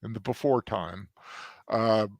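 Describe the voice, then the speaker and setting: A man's voice: fairly low pitch, slow, vocal fry, a little mellow, no echo. A man co-hosting a casual podcast chat, easygoing and a bit hesitant. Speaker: podcast co-host.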